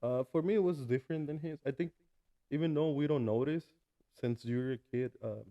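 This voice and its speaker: A man in his early thirties from Mexico with a Mexican Spanish accent, a guest talking casually in an interview with many pauses and restarts. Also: mid-range voice, muffled recording. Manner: hesitant, many fillers and restarts. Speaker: guest, food truck owner.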